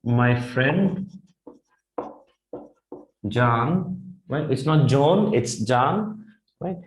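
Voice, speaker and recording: A man with an Indian English accent teaches vocabulary in a classroom that rings with echo. His voice sits at an ordinary middle pitch. He says each phrase slowly, often twice, and keeps asking "right?". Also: expressive, faint music behind. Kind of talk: whiteboard vocabulary lesson. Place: classroom in front of a whiteboard. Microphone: lapel mic. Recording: echoey classroom.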